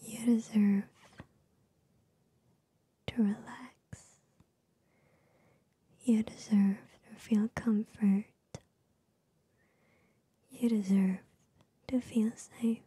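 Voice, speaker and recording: A young woman giving soothing ASMR affirmations in a soft, breathy whisper, gentle and repetitive, very close to the microphone. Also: on the high side, fairly even intonation, extremely slow, long pauses. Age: young adult. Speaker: ASMR host. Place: small bedroom with gaming setup, indoors. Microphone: large microphone very close to the mouth.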